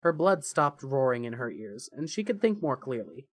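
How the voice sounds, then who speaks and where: A man narrates a scripted story in a mid-pitched voice. The recording is close and dry, with very little room sound. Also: very animated, moderate pace, no echo.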